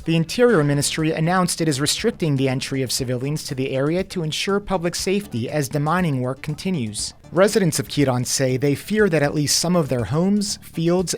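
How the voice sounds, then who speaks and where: A man presenting the news from a script in a measured, formal newsreader delivery. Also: on the high side.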